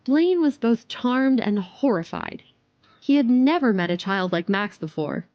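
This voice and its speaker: A woman's voice: on the high side, animated, unhurried, no echo, muffled recording. A woman narrating an audio drama from a script as its storyteller.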